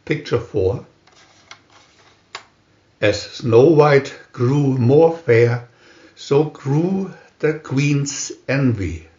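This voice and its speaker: A man reading a scripted storybook aloud in a deliberate, measured manner with pauses between captions. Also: mid-range voice, lively intonation, a little mellow. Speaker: storybook reader. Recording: roomy, dull lo-fi sound.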